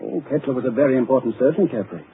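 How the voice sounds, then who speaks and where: An actor playing a worried sanitarium doctor. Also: male voice, medium pitch, very fast, close to an everyday voice. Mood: concerned. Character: worried middle-aged male sanitarium doctor. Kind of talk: 1940s radio acting. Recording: tinny old radio broadcast, faint hiss.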